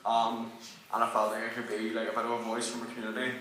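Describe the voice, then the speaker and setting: A man's voice: mid-range voice, completely flat delivery, fast talker, distant mic, background noise. A young man with a Belfast accent whose first language is Irish, a rapper speaking earnestly and reflectively on a panel. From West Belfast. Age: young adult. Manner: earnest, reflective, many fillers. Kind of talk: casual panel talk. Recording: echoey hall.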